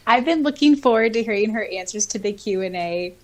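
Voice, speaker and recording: A woman co-hosting a podcast, reading comments aloud in a casual, expressive, giggly way. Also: on the high side. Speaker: podcast co-host.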